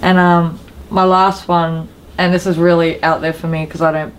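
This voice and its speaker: A woman with a fairly low voice talks as a podcast co-host. She is informal and giggly, chatting casually over faint background noise. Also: level tone, normal speed.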